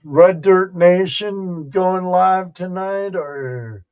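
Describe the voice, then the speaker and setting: Very slow-talking man, dull lo-fi sound. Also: high-pitched, darkish tone, clean tone. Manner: halting, unhurried, folksy. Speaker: livestream host and storyteller. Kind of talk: casual livestream chat and storytelling.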